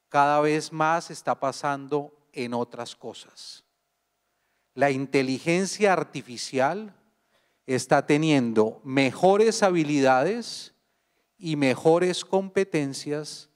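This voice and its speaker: A Colombian man lectures at a measured, unhurried pace, turning to the audience with questions. His voice is smooth and a touch high. It rings out with a long hall echo. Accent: Colombian Spanish. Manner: slow, deliberate, addresses audience with questions. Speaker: guest speaker. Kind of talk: university lecture.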